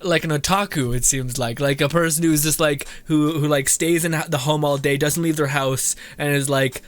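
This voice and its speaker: A man's voice: a little high, fairly even intonation, moderate pace, no echo. Amused, rambling male game streamer. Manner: amused, chuckly, slangy, rambling. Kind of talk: casual live gameplay reaction commentary. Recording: game music behind.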